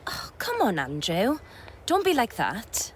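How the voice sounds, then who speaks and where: An actress playing an outspoken, tender-hearted young woman in a radio drama, direct and expressive. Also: a little high, takes it slowly, noisy background, full character voice. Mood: exasperated.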